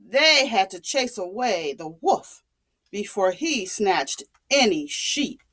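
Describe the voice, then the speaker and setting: A woman telling a story to young children in a dramatic, expressive, deliberate way. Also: medium pitch.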